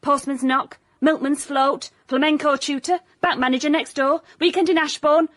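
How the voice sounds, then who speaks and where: High-voiced cheeky young assistant. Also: female voice, fairly even intonation, moderate pace, fairly bright tone, no room sound, clean studio sound. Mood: brisk, cheeky. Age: young adult. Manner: cheeky, blunt. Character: cheeky, indignant young female assistant detective. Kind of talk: radio comedy drama acting.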